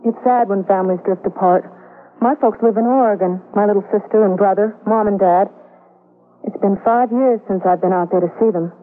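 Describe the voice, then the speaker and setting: A woman's voice: medium pitch, brisk, natural voice. An actress playing a nervous, earnest woman in her twenties who asks anxious questions. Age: 20s. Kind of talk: old-time radio drama acting. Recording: tinny, lo-fi radio broadcast with faint hiss.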